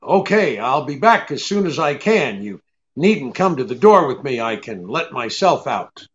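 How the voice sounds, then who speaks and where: Guy doing tough radio private eye, wry n offhand, lively pitch, slightly dull sound. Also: measured pace, a little hiss or hum. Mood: brusque, probing. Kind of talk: 1940s radio detective drama acting.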